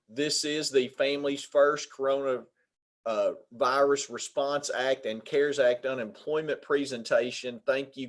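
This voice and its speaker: A man with a Southern drawl from Arkansas presents a legal webinar. His voice sits at an ordinary middle pitch. He talks in a folksy way and pauses often on filler sounds.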